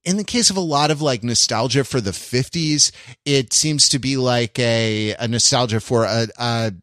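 A man hosting a podcast in a casual conversational style, thinking aloud in a halting, rambling and reflective way. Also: medium pitch, fairly bright tone, no echo, clean studio sound. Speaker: podcast host.

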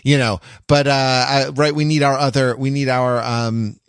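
A man with a mid-pitched voice chats as a podcast co-host in a very dry recording. His banter is casual and joking. He speaks haltingly, often circling back to begin a sentence again. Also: little pitch movement, clear, resonant tone, no echo.

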